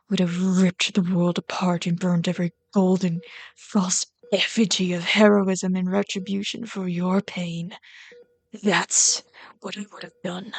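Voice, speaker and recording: A woman playing a bitter, lovelorn villain in an intimate ASMR roleplay, deliberate and intense, often slipping into breathy whispers. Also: fairly low pitch. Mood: vengeful, anguished. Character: bitter, lovelorn villain pleading with a hero's wounded sidekick.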